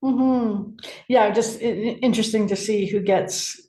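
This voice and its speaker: A woman hosting an interview, asking gentle, probing questions attentively. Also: mid-range voice, measured pace. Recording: echoey room sound.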